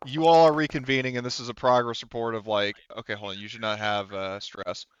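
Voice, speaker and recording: A man running an online tabletop roleplaying game as game master, talking casually and haltingly as he thinks aloud and corrects himself. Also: medium pitch, steady tone, clear, resonant tone, no room sound, lo-fi sound.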